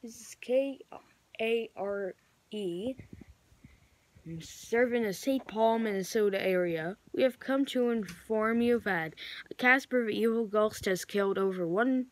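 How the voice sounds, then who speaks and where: Slow, halting female amateur announcer. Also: mid-range voice, a little hiss or hum. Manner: halting, improvised delivery with self-corrections. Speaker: amateur vlogger play-acting emergency alerts. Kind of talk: improvised mock emergency broadcast announcements.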